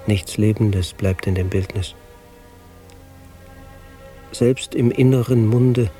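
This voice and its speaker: A man narrating in a radio play, reciting classical verse in a solemn, measured, declamatory manner. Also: on the low side, warm tone.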